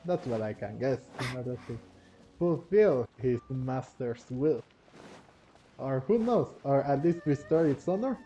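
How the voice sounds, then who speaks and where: A man giving casual gameplay commentary as a video host, halting and often chuckling, with game music behind him. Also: mid-range voice, lively intonation, warm tone, low-quality recording.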